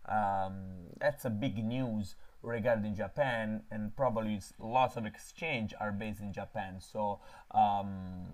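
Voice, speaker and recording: A man from Italy with an Italian accent, hosting a casual live stream about crypto trading and pausing often to fill gaps. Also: on the low side, a little mellow. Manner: halting, frequent filler pauses. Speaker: crypto trading channel host.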